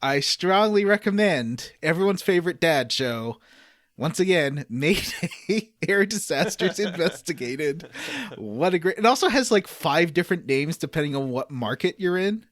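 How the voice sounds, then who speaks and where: An enthusiastic male podcast host with a lively, swinging pitch. He rambles through stories in casual conversation, and the sound is dry and close, with little room to it. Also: moderate pace, dry recording. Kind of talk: casual group podcast chat.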